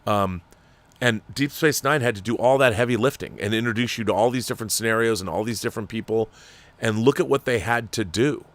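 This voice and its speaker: A man's voice: lowish voice, brisk. A man hosting a show that reads viewer letters, giving emphatic, critical commentary and often restarting his sentences. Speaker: host reading viewer letters. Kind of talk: opinionated commentary.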